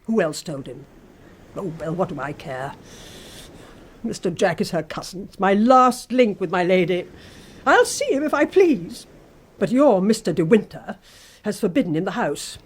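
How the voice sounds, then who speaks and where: An actress playing an obsessive, menacing housekeeper in a radio drama, sneering and insistently coaxing. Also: female voice, medium pitch, expressive, normal speed, slightly rough. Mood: scornful, bitter. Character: obsessive, menacing housekeeper, devoted to her dead mistress.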